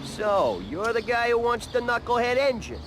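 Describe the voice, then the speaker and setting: A brash, cocky motorcycle dealer in a video game. He talks high and with big swings in pitch, taunting as he goes. Clear vehicle noise sits behind him. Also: big pitch swings, normal speed. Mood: cocky, challenging. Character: brash, challenging male motorcycle parts dealer.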